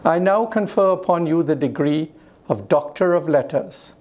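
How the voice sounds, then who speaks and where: A woman making a solemn, deliberate formal pronouncement at a graduation. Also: low-pitched, lively intonation, a little mellow. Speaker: university chancellor conferring degrees.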